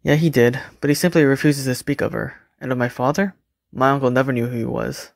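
A man's voice: medium pitch, fairly even intonation, fairly quick, creaky, no echo, studio quality, natural voice. A man playing a courteous, reserved, caring young mortician in an audio drama. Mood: reflective. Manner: courteous, understated.

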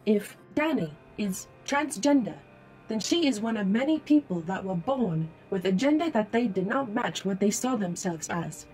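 A woman's voice: medium pitch, lively intonation, moderate pace, a little mellow, close to an everyday voice, rough recording. A woman playing a polite, formal holographic ad-bot in an audio drama, speaking precisely and matter-of-factly as she lectures. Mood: firm, challenging. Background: music.

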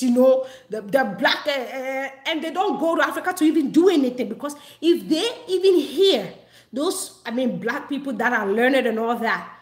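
A Ghanaian woman vlogging in a casual, animated way. She laughs often and repeats phrases for emphasis. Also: on the high side. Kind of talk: casual talk to camera. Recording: echoey room.

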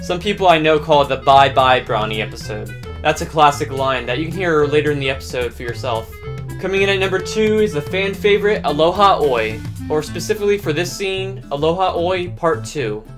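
A man in his twenties presents a scripted countdown as a fan reviewer. His voice sits a little high, with faint guitar music playing behind it. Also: brisk. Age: 20s. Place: small indoor room with bookshelves.

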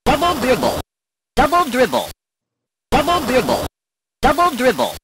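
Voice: huge pitch swings, measured pace, fairly bright tone, slightly rough, full character voice. An actor voicing a retro sports video game announcer, heard as a crude, sped-up digitized sample. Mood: announcing. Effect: crude low-bit digitized sample, sped up. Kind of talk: shouted-out title announcement from an old video game. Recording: crunchy lo-fi 8-bit console sample.

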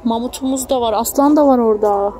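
A young mother speaks in a notably high-pitched voice. She talks casually to her small child, coaxing and affectionate. The phone recording is outdoors, with clear background noise. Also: quick pace, dark tone. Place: outdoors in a theme park. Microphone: handheld phone, behind the camera. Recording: noisy outdoor phone video.

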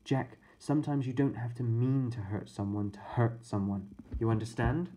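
A man's voice: medium pitch, moderate pace, dark tone, slightly rough, close to an everyday voice. A man voicing a stern but kindly woman babysitter who scolds and preaches to the boys in a storybook reading. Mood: earnest.

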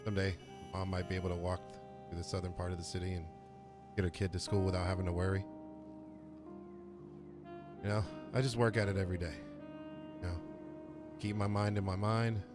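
A man's voice: sits low, little pitch movement, fairly quick, pauses often, music in the background, lightly acted. A man voicing a brooding, world-weary city tough guy in a terse noir monologue for a game roleplay. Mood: brooding, grim.

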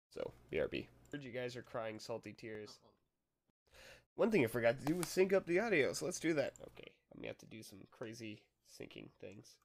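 A young man recording a casual software tutorial, talking offhand and a little hoarse from a cold. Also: fairly low pitch. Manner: rambling, offhand, chuckles to himself. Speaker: tutorial maker.

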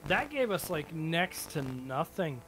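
A man's voice: a little high, very animated, slow, music in the background, poor audio. A man giving casual, speculative commentary as a co-host, with a wry, teasing manner. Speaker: reaction show co-host. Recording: clear background noise. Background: audio of the horror video being watched.

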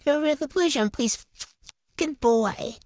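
A woman's voice: high-pitched, expressive, unhurried, darkish tone. A young adult woman giving casual, chatty play-by-play gameplay commentary in short phrases, like a vlogger. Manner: chatty, narrating each move in short phrases. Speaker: gaming vlogger.

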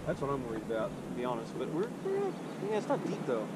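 Quiet, mumbling kayak fisherman outdoors. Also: medium pitch, wildly swooping pitch, fast talker. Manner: quiet, muttering, jokey. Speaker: fishing vlogger. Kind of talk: casual chat while kayak fishing. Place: kayak on open water, outdoors. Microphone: action camera on the kayak. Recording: wind and water noise outdoors.

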